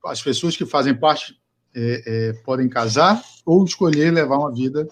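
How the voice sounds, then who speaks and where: A man's voice: medium pitch, normal speed. A man hosting a casual podcast interview, asking long, rambling questions with many restarts. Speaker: podcast host.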